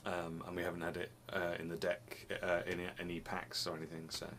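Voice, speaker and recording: A man's voice: deep voice, monotone, a little fast, a bit bright, slight background noise. A young man chatting casually to camera in a small home room, rambling and restarting his phrases, slightly sniffly. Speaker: vlogger.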